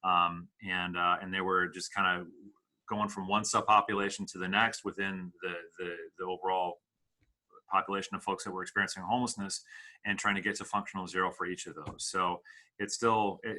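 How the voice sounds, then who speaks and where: A middle-aged man from the Midwest, answering interview questions as a charity director in a measured, hesitant way with many fillers. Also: sits low, bright, forceful tone. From Midwest United States. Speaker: executive director of a homeless services charity.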